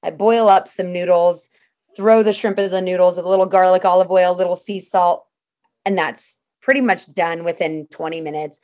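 A woman's voice: on the low side, fairly even intonation, normal speed, a little mellow. A woman guest in a casual interview, talking in a chatty, practical way and walking through things step by step.

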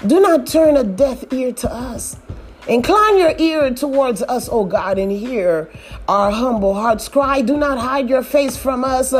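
A woman leading a fervent, pleading prayer, repeating her appeals and rising to shouts. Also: on the high side. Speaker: prayer leader.

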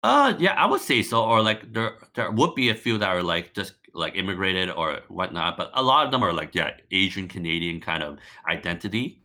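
A young man from Hong Kong, a guest on a podcast, talking in a relaxed, halting way full of fillers. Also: sits low. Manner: relaxed, hesitant, many likes and restarts. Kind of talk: casual interview chat.

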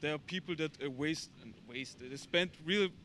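A young Austrian man with a German accent, answering a street interview in halting English. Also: on the high side, a bit bright, a little hiss or hum. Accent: German-speaking Austrian. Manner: halting, searching for English words. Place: outdoors on a city street.

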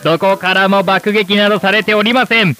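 An actor playing a formal, polished old-time television presenter addressing the nation in a radio drama. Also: male voice, high voice, steady tone, fairly quick, bright, forceful tone, soft background music. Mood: triumphant. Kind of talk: broadcast announcing in radio drama.

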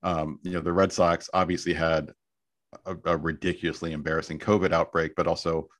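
A man with a lowish voice talks with a guest's earnest drive. He often stumbles and repeats words before a point gets going, then settles into a steady case. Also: a little fast. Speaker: podcast guest. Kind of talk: casual podcast chat.

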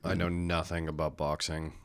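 Deep-voiced young man hosting a podcast. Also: level tone, moderate pace, clean tone. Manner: loose, swearing, joking. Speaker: podcast host. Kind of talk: casual podcast chat.

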